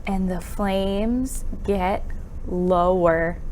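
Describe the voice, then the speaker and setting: A woman's voice: medium pitch, fairly even intonation, extremely slow, darkish tone, a little hiss or hum. A woman presenting an interactive storytime for young children, with counting songs and exaggerated exclamations and questions.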